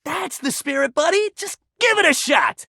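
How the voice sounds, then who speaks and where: A man's voice: very high voice, animated, moderate pace, creaky, sharp tone, no room sound, studio quality, heavily acted. A man voicing a sporty young guy in a video game, an upbeat badminton lover who talks in slangy, buddy-like coach pep talk. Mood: excited, encouraging. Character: upbeat, sporty young badminton-loving dude, animated shuttlecock. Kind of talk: video game character voice acting.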